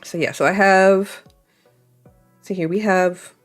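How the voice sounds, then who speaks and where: A woman's voice: mid-range voice, steady tone, slow pace, soft background music. A young woman chatting casually as she crafts, thinking aloud and filling her talk with "like.". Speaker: crafting vlogger. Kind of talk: casual chat while crafting.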